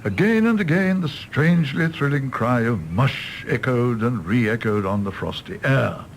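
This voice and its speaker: An actor playing a gruff, weary doctor in a radio drama, gravelly and a little hesitant. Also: male voice, mid-range voice, expressive, unhurried, slight background noise, low-quality recording. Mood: droll reading. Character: gruff, weary doctor minding an old patient.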